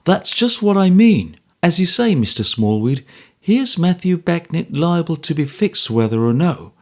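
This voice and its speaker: A man's voice: a little high, sing-song, unhurried, voice pushed up. A man voicing a hearty, easygoing former soldier with a bluff, offhand, earnest manner.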